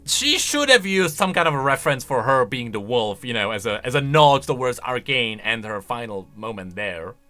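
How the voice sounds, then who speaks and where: A man giving casual, opinionated reaction commentary on video game lore in an animated, conversational way. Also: medium pitch, moderate pace, few pauses. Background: faint music.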